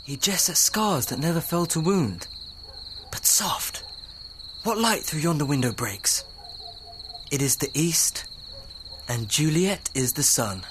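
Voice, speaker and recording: Over clearly noisy audio with chirping, a young male student actor plays an ardent young nobleman in love. His voice is on the high side for a man, breathy and impassioned. He delivers verse as audio drama. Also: lively intonation, takes it slowly, pauses often. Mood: rapturous. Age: young adult. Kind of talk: Shakespearean audio drama acting.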